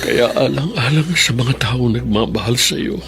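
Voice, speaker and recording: A man's voice: mid-range voice, a little slow, creaky, music in the background. A man acting an older, loving, distressed father in a radio melodrama, pleading with emphatic emotion. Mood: tender, urging.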